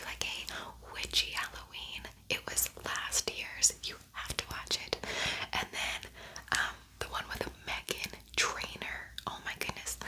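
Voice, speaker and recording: A woman speaking in a breathy, unvoiced whisper, chatting casually and thinking aloud as she hosts an ASMR makeup tutorial. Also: bright, forceful tone, rough recording.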